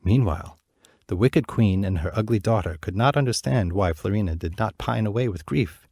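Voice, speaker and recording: A man narrating a fairy tale in a measured, clear reading voice. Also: fairly low pitch, darkish tone, dry recording, clean studio sound. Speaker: storyteller.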